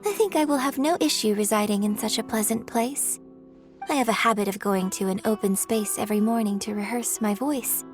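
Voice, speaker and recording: A woman's voice: on the high side, fairly quick, faint music behind, lightly acted. A woman playing a refined, courteous young opera performer in a video game, speaking with polite, formal, elegant phrasing. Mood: serene, gracious. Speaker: game character voice. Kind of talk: scripted video game dialogue.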